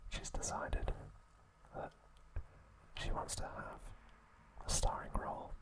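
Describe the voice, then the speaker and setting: A man whispering an ASMR review at home, gentle and relaxed, with a soft unvoiced whisper. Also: slow. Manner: gentle, relaxed, with occasional fillers. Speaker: ASMR channel host. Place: indoors at home.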